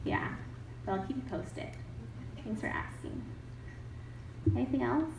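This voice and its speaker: A young woman author with a cold, answering audience questions in an animated, upbeat way, laughing often. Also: fairly high pitch, measured pace, gravelly. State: has a cold. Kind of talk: casual Q&A talk. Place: event hall. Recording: echoey room, mic from a distance, faint noise.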